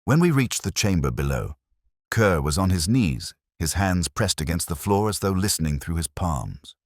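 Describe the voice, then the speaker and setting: A man's voice: sits low, animated, moderate pace, no room sound, studio quality. A man giving a scripted mystery narration in a grave, dramatic, suspenseful manner. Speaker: story narrator.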